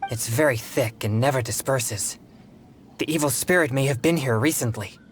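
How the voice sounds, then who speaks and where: A man voicing an earnest, polite teenage boy exorcist in a video game, with formal, measured phrasing. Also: mid-range voice, slight background noise, lightly acted. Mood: alert, cautious.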